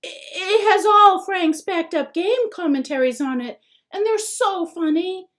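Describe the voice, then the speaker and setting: A woman's voice: extremely high pitch, unhurried, a bit bright, pitched above the performer's own voice. A woman voicing a nervous twelve-year-old boy who pleads and trembles. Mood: nervous pleading.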